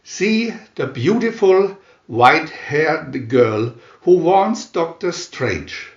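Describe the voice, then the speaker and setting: A man narrates comic book captions in a theatrical announcer manner, very slowly and with long pauses. His voice is a bit high for a man and swings widely in pitch. The room adds noticeable echo. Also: lively intonation, muffled recording. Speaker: narrator reading View-Master booklet captions.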